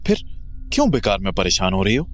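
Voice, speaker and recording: A man's voice: on the low side, big pitch swings, fast, soft background music. A man playing a rational, dismissive husband in a horror audio drama, reasoning with mild exasperation. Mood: exasperated. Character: rational, dismissive husband calming his frightened wife.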